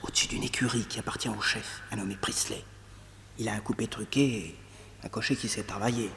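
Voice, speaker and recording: Detective's eager nephew, reverberant. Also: male voice, lowish voice, steady tone, a little fast, creaky, fairly bright tone, a little hiss or hum. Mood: confiding. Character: detective's loyal, eager young nephew, storyteller. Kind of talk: French radio serial acting, storytelling.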